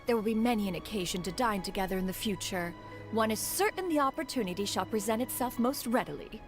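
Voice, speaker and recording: A woman's voice: mid-range voice, expressive, quick pace, faint music behind, close to an everyday voice. A woman voicing a proud, aloof, motherly immortal in a video game, with formal, archaic speech. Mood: composed. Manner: formal, haughty, archaic wording.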